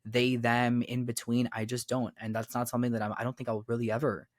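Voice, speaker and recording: A man's voice: mid-range voice, level tone, fairly quick, no echo. Rambling young man, dry close mic. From Florida, raised in Miami. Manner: rambling, repeats phrases, many fillers. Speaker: podcast guest. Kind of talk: casual conversation.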